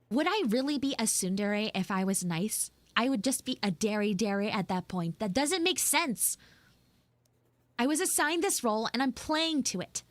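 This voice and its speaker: Tsundere schoolgirl for anime-style audio roleplay, played by a woman: high voice, pouty, defensive, halting, close dry sound. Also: a bit bright, no echo, full character voice. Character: flustered, defensive tsundere anime schoolgirl, mean but secretly soft. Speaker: livestreamer and VTuber voice actress. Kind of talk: improvised live audio roleplay with chat asides.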